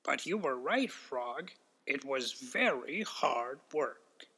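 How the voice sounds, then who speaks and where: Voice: medium pitch, lively intonation, takes it slowly, sharp tone, husky, exaggerated voice. A storybook narrator voicing an eager, impatient and fretful toad with an emphatic, earnest manner. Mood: relieved.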